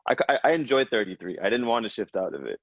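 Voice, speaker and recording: A man in his thirties, a spiritual coach, giving a slow, soothing reading and then chatting casually with his client. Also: fairly low pitch, big pitch swings, no room sound. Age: 30s. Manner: slow, soothing, affirming delivery. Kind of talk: guided channeled reading, then casual chat. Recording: dull lo-fi sound.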